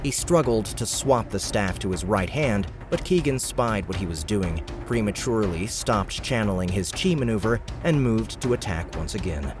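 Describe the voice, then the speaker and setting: A man reads an audiobook in a steady, measured narrating voice. His voice sits low, and clear music plays underneath throughout. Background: background music.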